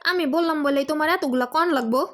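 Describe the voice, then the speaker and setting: A woman's voice: high-pitched, brisk, very noisy, natural voice. A woman voicing a touchy, quarrelsome young wife in a comic cartoon, with a Bangladeshi accent. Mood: indignant nagging. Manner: nagging, quarrelsome. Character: touchy, moody young wife, quick to argue.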